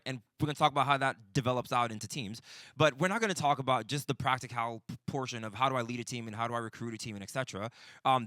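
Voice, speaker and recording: A man's voice: medium pitch, pushed and bright, no room sound. A man in his forties giving an informal, conversational sermon as a pastor leading a teaching session, often checking in with his listeners. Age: 40s.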